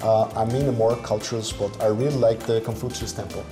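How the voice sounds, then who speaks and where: A man's voice: on the low side, fairly quick. Joking Austrian-raised man, echoey room. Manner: relaxed, joking, with restarts. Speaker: basketball coach. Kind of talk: casual interview. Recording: echoey room with music behind.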